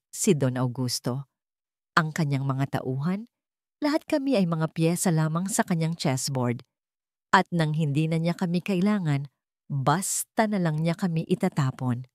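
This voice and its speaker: A woman's voice: sits low, a little mellow, dry recording, studio quality. A woman voicing a remorseful jailed mistress confessing earnestly in a letter, read as dramatic storytelling. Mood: bitter.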